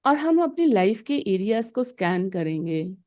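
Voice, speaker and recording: Casting: woman meditation guide, very slow pace, soft-edged mellow tone, calm and deliberate. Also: mid-range voice. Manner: calm, deliberate, with pauses between short phrases. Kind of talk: guided meditation, slow instructions in short phrases.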